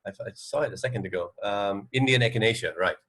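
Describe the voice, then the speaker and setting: A man's voice: on the low side, fast, fairly bright tone, husky. A man co-hosting a radio show in casual chat, tentatively repeating and rephrasing as he goes.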